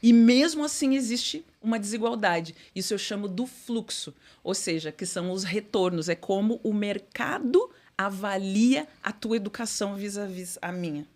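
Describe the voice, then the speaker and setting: A middle-aged woman, a guest speaking thoughtfully in an interview, often pausing and restarting with "né". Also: mid-range voice, a little mellow. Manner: thoughtful, many fillers and restarts, says "né". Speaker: guest executive. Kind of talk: conversational interview.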